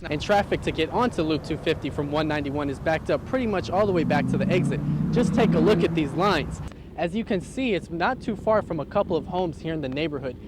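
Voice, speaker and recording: A man's voice: on the high side, noisy background. A man working as a television news reporter, delivering scripted reports and stand-ups on location.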